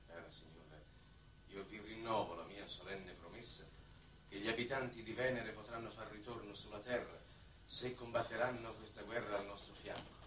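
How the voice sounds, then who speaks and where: A man's voice: on the low side, steady tone, normal speed, recorded from a distance, close to an everyday voice. An actor playing an earnest, insistent statesman in a 1960s Italian television drama, speaking in a formal, oratorical way. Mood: solemn persuasion. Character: earnest, insistent diplomatic statesman on a space mission. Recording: echoey, noisy old 1960s TV soundtrack.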